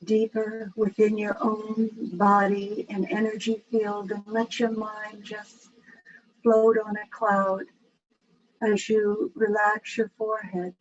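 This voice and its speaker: Female meditation guide, low-variation delivery, very slow and soothing, slightly dull recording with faint noise. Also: completely flat delivery.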